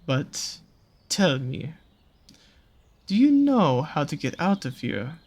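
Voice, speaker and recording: A seductive naga monster of a dark forest, acted out by a performer, speaks very slowly in a husky, breathy voice. The lines swoop and curl with teasing menace, coaxing the listener in an intimate audio roleplay. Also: medium pitch, very animated, exaggerated voice. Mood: sly, ominous. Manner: slow, coaxing, teasing, drawn-out phrasing.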